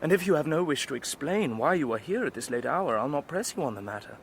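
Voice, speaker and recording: A man's voice: on the high side, animated, quick pace, a little hiss or hum. A man with an English accent playing a courteous, earnest young drawing teacher of 1849, narrating and speaking formally in a literary audio drama. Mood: startled, gentle. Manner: well-spoken, formal. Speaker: narrator and lead actor.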